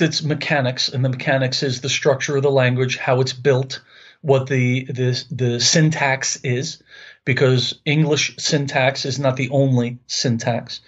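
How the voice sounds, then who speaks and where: A man in his late fifties with a New York accent speaks as an interview guest. He talks earnestly and often circles back to restart his phrases. His voice sits in a middle pitch and comes through slightly muffled. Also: breathy edge. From Long Island, New York. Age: 50s. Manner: earnest, repeats words while searching for phrasing. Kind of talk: conversational interview.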